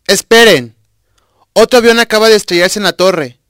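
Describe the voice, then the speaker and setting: A man's voice: high voice, moderate pace, a bit bright. A man playing an agitated news reporter at a disaster scene in a radio drama, urgent and alarmed. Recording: noisy street with clicks and sirens.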